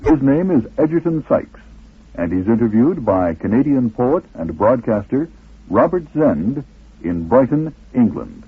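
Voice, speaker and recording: A man's voice: mid-range voice, very animated, unhurried, breathy edge. A man reading scripted narration as the host of a radio documentary. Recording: muffled lo-fi old radio recording.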